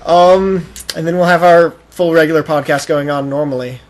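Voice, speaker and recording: A man's voice: on the high side, fairly even intonation, normal speed, a little hiss or hum. A man co-hosting a casual gaming podcast, bantering informally and teasing his friend. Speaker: podcast co-host. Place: one-room apartment.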